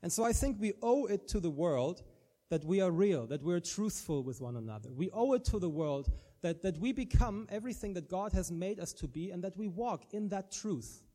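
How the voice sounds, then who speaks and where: German guy giving a sermon, accent, kinda high voice but dark sounding, repeats words a lot. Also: animated, normal speed. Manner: earnest, frequent restarts and repeated words. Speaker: preacher.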